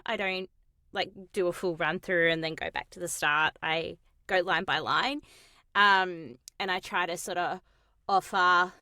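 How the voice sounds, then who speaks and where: Bright, low-pitched woman. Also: no echo, clean studio sound. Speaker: voice actor and acting coach. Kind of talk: casual interview chat. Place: home or studio voice booth. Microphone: close studio mic in a recording booth.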